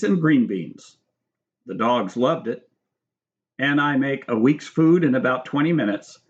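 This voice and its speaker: American man reading, slightly dull recording. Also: mid-range voice. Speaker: author reading own book. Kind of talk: audiobook-style reading of nonfiction with spoken asides.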